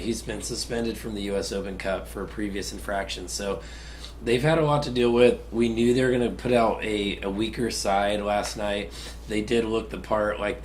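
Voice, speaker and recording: Low, raspy man with a cold. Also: slight background noise. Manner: relaxed, analytical, hesitant restarts. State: getting over a cold. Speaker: podcast co-host. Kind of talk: casual sports chat.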